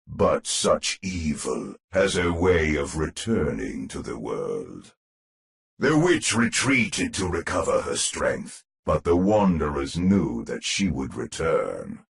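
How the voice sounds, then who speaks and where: A man's voice: low-pitched, big pitch swings, slow pace, creaky, exaggerated voice. A man playing a grandiose storyteller who narrates a spooky gothic tale for a game in an ominous, pompous, theatrical way. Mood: grave, dramatic.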